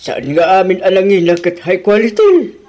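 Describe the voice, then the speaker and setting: A high, very animated older father-in-law in an Ilocano radio drama. He is nosy and admonishing, his pitch swooping as he talks in an Ilocano accent. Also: moderate pace.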